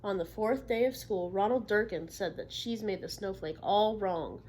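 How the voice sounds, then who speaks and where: A woman with a mid-range voice reads a children's storybook aloud in the manner of a teacher. Her delivery is expressive and emphatic, with encouraging questions that check the listeners follow along. A light layer of room noise sits behind her. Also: mid-range voice, normal speed, low-quality recording. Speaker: teacher reading a picture book aloud to children.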